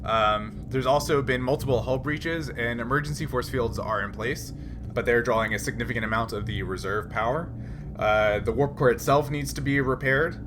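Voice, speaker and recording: Male game master, medium pitch, improvised sci-fi tabletop narration with a halting flow, noisy room recording.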